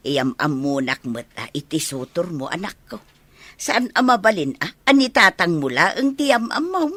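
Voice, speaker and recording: A woman's voice: on the low side, big pitch swings, unhurried, a bit bright, dry recording, exaggerated voice. An actress playing a nosy, coaxing older village mother in a radio drama, drawing out her interjections.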